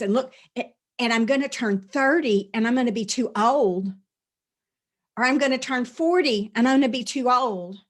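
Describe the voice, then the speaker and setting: Earnest woman leading a spiritual session. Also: fairly high pitch. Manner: earnest, repetitive, lists and repeats phrases. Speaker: spiritual teacher. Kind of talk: spoken spiritual release session.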